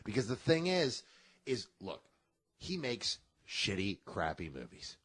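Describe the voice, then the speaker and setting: A man's voice: lowish voice, unhurried, slightly rough. A man co-hosting a podcast, talking in a casual, profane, opinionated rant. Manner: animated, profane, opinionated rants. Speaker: podcast co-host. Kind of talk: casual chat.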